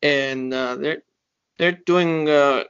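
A man's voice: fairly high pitch, fairly even intonation, slow, fairly bright tone, clear, resonant tone. A young man co-hosting a casual sports podcast, easygoing and hesitant, with lots of fillers. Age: young adult. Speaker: podcast co-host. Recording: dull lo-fi audio.

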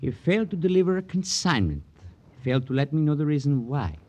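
Husky, sorrowful, courtly merchant. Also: male voice, fairly high pitch, sing-song, fast, darkish tone. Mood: mournful, formal. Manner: stilted, courteous, pompous wording. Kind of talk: old-time radio crime drama acting.

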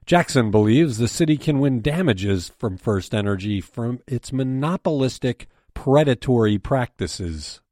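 A man's voice: mid-range voice, expressive, unhurried, few pauses, darkish tone, dry recording, clean studio sound. A man with an American accent reading a scripted news briefing as its host and editor.

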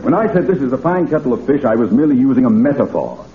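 A man's voice: mid-range voice, very animated, rapid-fire, a faint crowd, a little hiss or hum, natural voice. A man acting a dignified, wordy town mayor in an old-time radio comedy, formal and precise yet easily flustered. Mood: exasperated. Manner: formal, precise, pompous, prone to sputtering. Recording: tinny, echoey old radio with audience.

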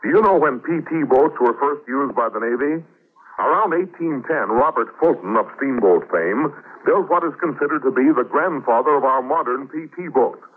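A man's voice: medium pitch, animated, moderate pace, breathy edge. A man reading scripted public service announcements in a 1940s radio style. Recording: muffled old radio recording.

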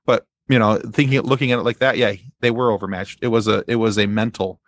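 Low-voiced man chatting in dry sound. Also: fairly even intonation, quick pace, dry recording. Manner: rambling, repeats phrases. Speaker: podcast guest. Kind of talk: casual fan discussion.

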